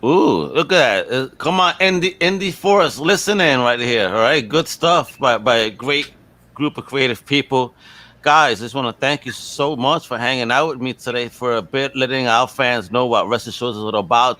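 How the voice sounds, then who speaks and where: A man's voice: mid-range voice, normal speed, sharp tone, no echo. A man hosting a casual podcast interview in an enthusiastic, slangy way. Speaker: podcast host.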